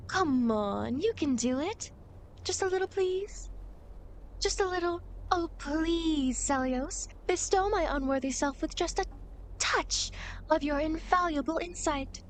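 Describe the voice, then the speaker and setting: A woman acts out a playful demon girl in an ASMR roleplay. The voice is high and girlish, with smug, theatrical teasing and mock-grand phrasing. Clear background ambience runs under the speech. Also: a little hiss or hum. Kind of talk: ASMR roleplay, acted monologue to the listener.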